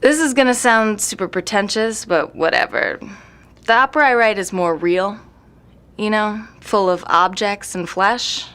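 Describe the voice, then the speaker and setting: A woman's voice: medium pitch, a little slow, a bit bright, clean tone, close to an everyday voice. A woman playing a jaded, self-deprecating night-owl composer in an audio drama, rambling bluntly with sardonic, slangy swearing. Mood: opinionated, self-deprecating. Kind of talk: naturalistic audio drama interview acting. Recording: noisy room recording.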